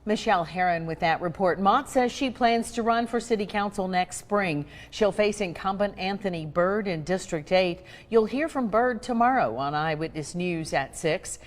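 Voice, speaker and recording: A woman's voice: medium pitch, moderate pace, few pauses, in a large hall. A woman anchoring a TV newscast, presenting scripted story introductions and follow-ups. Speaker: TV news anchor.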